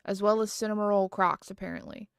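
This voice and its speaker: A woman's voice: mid-range voice, level tone, quick pace, clear, resonant tone, dry recording, clean studio sound. A woman livestreaming from home, chatting casually and rambling with lots of fillers and restarts. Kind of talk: casual chat.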